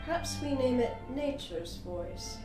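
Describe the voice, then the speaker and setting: A woman playing a reflective poet looking back on her youth, narrating in a measured, solemn, lyrical way. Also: fairly high pitch, expressive. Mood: wistful. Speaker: audiobook performer. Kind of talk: dramatic poetic audiobook narration. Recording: roomy, distant microphone, music behind. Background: music.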